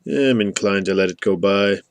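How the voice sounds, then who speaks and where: A man's voice: sits low, moderate pace, no echo. Man acting tired old hound, resigned, grumbling, storybook character, dry sound. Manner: resigned, plain-spoken. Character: weary old male guard dog, conservative, mildly grumbling.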